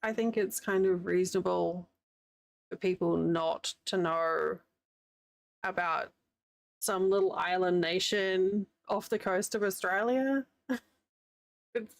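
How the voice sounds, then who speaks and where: Woman, New Zealand accent, streamer, rambling casual chat, gravelly, dry close sound. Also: lowish voice, steady tone, slow pace, long pauses, no room sound. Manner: chatty, rambling, laughs often. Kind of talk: casual chat with live chat while crocheting. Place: home, living in the United States.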